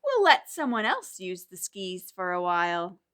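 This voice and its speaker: A woman voicing a mild, sensible man from a children's picture book, in a measured, thoughtful way. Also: fairly low pitch, huge pitch swings, fairly bright tone, clean tone, pitched below the performer's own voice, exaggerated voice. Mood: mild, reflective.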